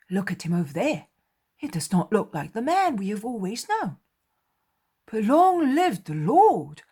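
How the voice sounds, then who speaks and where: A woman voicing Peter, an impulsive and fiercely loyal adult male fisherman disciple who speaks loudly and emphatically. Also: very high-pitched, voice pushed up, exaggerated voice. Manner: loud, emphatic, raised and heated.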